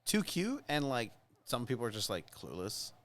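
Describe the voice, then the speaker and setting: A man with a Mexican accent, co-hosting a casual sports podcast, opinionated and animated. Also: medium pitch, moderate pace. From San Diego area. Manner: animated, opinionated, stumbles over names. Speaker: podcast co-host.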